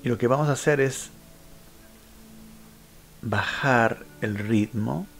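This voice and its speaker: A man leading a guided meditation, giving instructions in short phrases with frequent pauses. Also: mid-range voice. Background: background music.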